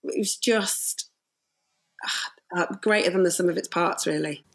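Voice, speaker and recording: A woman with a slightly croaky voice, telling stories about making radio drama in a relaxed podcast chat. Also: fairly low pitch, measured pace. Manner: warm storytelling, emotional at moments. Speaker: radio drama producer and director. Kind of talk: casual podcast conversation.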